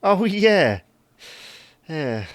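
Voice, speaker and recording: A man's voice: high-pitched, sing-song, drawn-out words, no room sound, heavily acted. A man playing a reluctant, stubborn husband, grumbling in dry, mild protest in a domestic comedy audio drama. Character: reluctant, stubborn, henpecked husband with poor taste.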